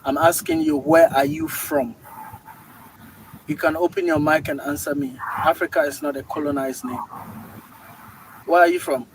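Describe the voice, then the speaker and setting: A man hosting a live online panel, talking forcefully and repeating his points as he admonishes the audience. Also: fairly high pitch, animated, normal speed, gravelly, long pauses, noisy background. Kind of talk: assertive moderating, lecturing.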